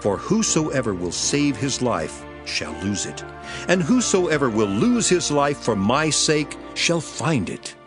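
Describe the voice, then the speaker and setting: An actor playing a solemn, authoritative teacher and master in a dramatized scripture, declaiming deliberately. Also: male voice, medium pitch, big pitch swings. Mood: solemn, instructive. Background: music.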